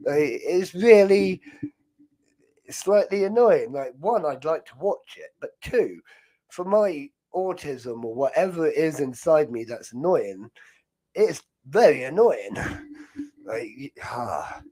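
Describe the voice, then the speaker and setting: A man's voice: fairly high pitch, animated, takes it slowly, mellow. A young British man hosting a livestream, chatting in a loose, rambling way with frequent laughs. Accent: British English. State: chest infection. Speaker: host. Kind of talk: casual livestream chat.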